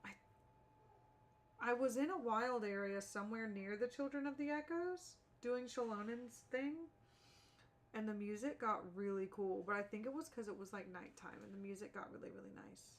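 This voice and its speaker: A woman's voice: on the high side, normal speed. A woman chatting casually on a live game stream in an informal, rambling way. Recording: game music behind the voice. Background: video game music.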